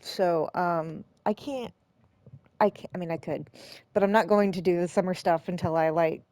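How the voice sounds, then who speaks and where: A woman's voice: lowish voice, normal speed, a little mellow, dry recording, clean studio sound. A woman streamer giving casual let's play commentary in a rambling, hesitant, self-deprecating way. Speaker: game streamer, host.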